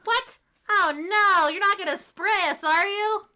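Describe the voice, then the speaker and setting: A woman's voice: very high-pitched, a little slow, sharp tone, exaggerated voice. A woman voicing a small, melodramatic robot pest that loves mess, in a children's radio play with sing-song delivery. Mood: panicked. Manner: melodramatic, exclamatory. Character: small pink-eyed icky robot pests, dramatic, mess-loving.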